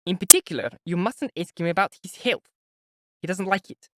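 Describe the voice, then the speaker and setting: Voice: medium pitch, moderate pace, background noise, voice pushed up, close to an everyday voice. A reader voicing an earnest, devoted young man giving direct advice.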